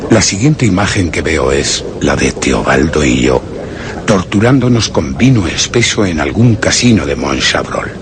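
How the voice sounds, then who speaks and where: Radio suspense: brooding male murderer-narrator, Castilian accent, literary and theatrical, animated delivery over noisy ambience. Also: low-pitched. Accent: Castilian Spanish. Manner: theatrical, literary, ominous, dropping to whispers. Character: brooding, scheming murderous man narrating his crime.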